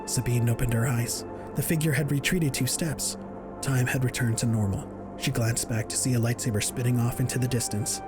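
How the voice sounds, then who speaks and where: A man narrates an action audio drama in a mid-pitched voice. His delivery is scripted and dramatic, in the manner of an audiobook. A musical score plays clearly behind him. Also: fairly even intonation, fairly quick, over music.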